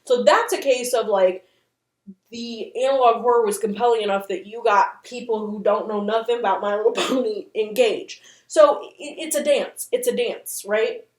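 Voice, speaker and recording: Female commentary host, higher register, chatty and opinionated with playful drama, echoey room sound. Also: moderate pace, a little mellow. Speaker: host of a channel on internet horror. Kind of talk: casual video commentary.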